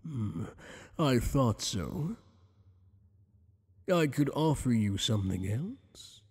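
A man playing a flirtatious, possessive tentacled alien boss in an ASMR roleplay, speaking in a teasing, courtly, deliberate way with long echoing reverb. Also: medium pitch, very animated, darkish tone, husky, heavily acted. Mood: curious, pitying.

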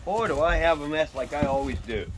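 A man's voice: a little high, fairly quick, noisy background. A middle-aged man with a Western Pennsylvania accent, chatting folksily to viewers as he sorts through a storage unit. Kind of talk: casual unboxing commentary. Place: cluttered storage unit, indoors.

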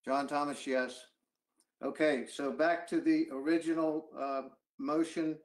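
Halting chairman on a video call. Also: a little high, steady tone. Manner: halting, hesitant. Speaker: council chairman running a meeting. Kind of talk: formal meeting procedure. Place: indoors at home or office on a video call.

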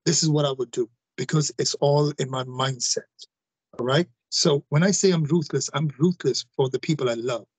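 A man in his forties talks forcefully over a video call, repeating himself for emphasis. His voice is a touch husky and sits a little high for a man. The sound is close and dry. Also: normal speed, no echo, poor audio. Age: 40s. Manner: forceful, repeats phrases, addresses listeners directly. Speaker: guest. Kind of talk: emphatic interview talk.

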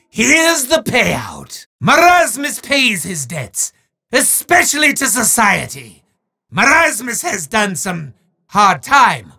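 A pompous male demon in a game who bellows his lines with theatrical menace and refers to himself in the third person. The voice swings wildly up and down in pitch, drags out its words slowly, and has a bright, forceful edge. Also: husky, heavily acted. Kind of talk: game character voice acting.

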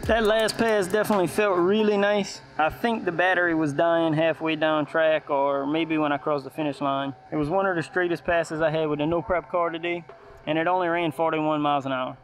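Higher-voiced man, casual vlogger. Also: steady tone, brisk, a little hiss or hum, rough recording. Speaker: hobby RC car vlogger. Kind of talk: casual vlog commentary.

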